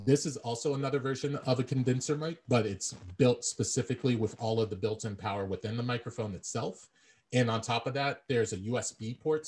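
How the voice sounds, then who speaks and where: Deep-voiced man explaining his gear. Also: darkish tone, husky. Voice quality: deep baritone. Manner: explanatory, repeats phrases while thinking. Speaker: presenter. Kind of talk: instructional talk on audio gear. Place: small bare room at home. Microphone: desktop condenser mic, then earbud headset. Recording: dull lo-fi earbud audio with echo.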